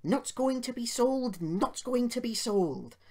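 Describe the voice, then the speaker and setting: A woman voicing an eager, excitable young male steam engine in a children's storybook, exclaiming and bubbling over. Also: a little high, drawn-out words, husky, pitched above the performer's own voice, heavily acted. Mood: excited.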